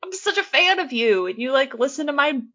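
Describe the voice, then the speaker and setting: A woman's voice: high voice, big pitch swings, fairly quick, pushed and bright, lo-fi sound. A woman co-hosting a casual podcast, reacting with laughs, casual swearing and eager exclamations. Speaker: podcast co-host.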